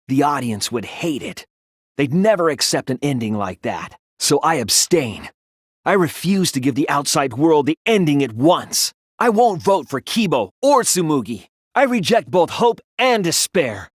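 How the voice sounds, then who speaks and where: A man's voice: mid-range voice, husky, no echo, clean studio sound. A young man voicing an earnest, wounded but defiant teenage boy detective in a dramatic video game dub, emotional and emphatic. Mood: quiet, somber determination. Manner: emotional, emphatic, swinging from hushed to impassioned.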